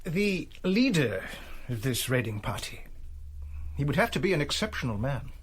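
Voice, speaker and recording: Careful, slightly husky German aide. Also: male voice, on the high side, very animated, moderate pace. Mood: respectful, concerned. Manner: precise, deferential, hesitant. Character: careful, doubting German officer, dutiful military aide. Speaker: radio drama actor. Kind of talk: radio drama acting.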